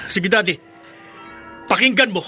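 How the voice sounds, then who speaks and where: Radio drama casting: a furious young Filipino son, high forceful voice, husky edge, accusing and seething. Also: male voice, a little slow, soft background music, heavily acted. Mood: furious, threatening. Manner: melodramatic, seething, confrontational. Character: angry young adult son, bitter and accusing.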